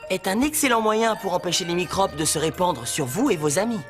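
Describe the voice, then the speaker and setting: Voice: mid-range voice, animated, fairly quick, close to an everyday voice. An actor voicing a kind, patient handyman who explains things reassuringly in a children's cartoon. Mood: patient, instructive. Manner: reassuring, instructive, sprinkles in Spanish words. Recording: music behind the voice.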